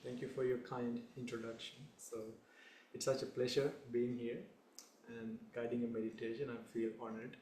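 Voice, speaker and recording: A young male Buddhist monk from Sri Lanka guides a meditation in a calm, unhurried voice, speaking in short phrases. His pitch stays flat and even. The room sounds echoey, with a faint hum behind him.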